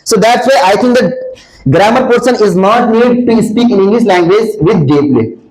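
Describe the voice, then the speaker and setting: Earnest high-voiced young Indian man. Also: normal speed, darkish tone, low-quality recording. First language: Hindi. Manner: earnest advice with many fillers and restarts. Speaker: senior student. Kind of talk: answering audience questions. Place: classroom.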